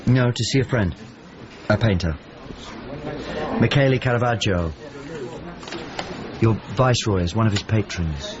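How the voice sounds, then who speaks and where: A man's voice: on the low side, unhurried, long pauses, crowd noise, lightly acted. An actor playing an earnest, anxious painter who narrates his search for a friend in a radio drama, in clipped fragments. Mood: matter-of-fact. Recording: dull lo-fi radio drama, clearly noisy background.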